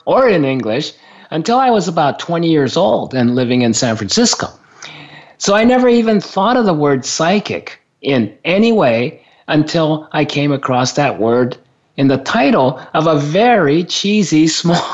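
A man from Japan telling a personal story in a relaxed chat, chuckling often and repeating words as he goes. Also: mid-range voice. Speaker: guest on spiritual talk show. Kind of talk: casual storytelling. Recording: dull lo-fi sound.

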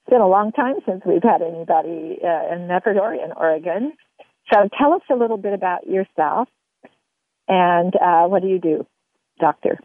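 Creaky-voiced woman hosting a phone-like radio interview. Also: lowish voice, normal speed, no echo. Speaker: radio show host. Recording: narrow, tinny phone-like radio sound.

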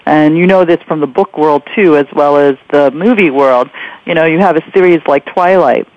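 Man, fairly high voice, radio host, conversational interviewing, dry, slightly muffled lo-fi audio. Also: normal speed, no room sound.

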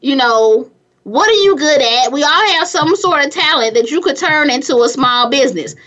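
Squeaky high-voiced chatty woman. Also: moderate pace, muffled recording. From California. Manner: chatty, energetic, laughs often, many restarts. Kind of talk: casual motivational chat.

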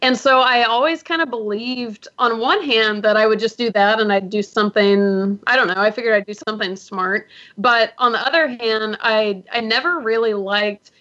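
A woman's voice: fairly high pitch, normal speed, bright, forceful tone. A young adult woman from the US South, a podcast guest talking casually and laughing often at herself. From Georgia, US South. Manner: chatty, laughs often, self-deprecating. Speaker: podcast guest, business founder. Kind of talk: casual interview talk. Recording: muffled lo-fi audio.

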